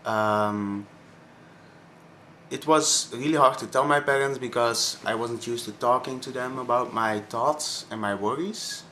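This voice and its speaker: Young man, Flemish accent, slow hesitant speech, bright tone, faint background noise. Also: medium pitch. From Belgium. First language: Dutch (Flemish). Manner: hesitant, many ums and pauses. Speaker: vlogger. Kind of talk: personal confessional vlog.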